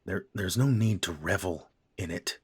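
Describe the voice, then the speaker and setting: A man voicing a gruff middle-aged father in an audio drama, his voice low and rough with a husky grain. He is stiff and clipped, uneasy with his grown son. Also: moderate pace, dry recording, clean studio sound. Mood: defensive. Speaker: voice actor.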